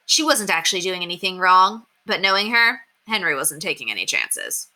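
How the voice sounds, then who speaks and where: A woman reading a children's book aloud in an expressive storytelling style, acting out the dialogue in an animated way. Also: fairly low pitch, moderate pace. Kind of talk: expressive read-aloud narration.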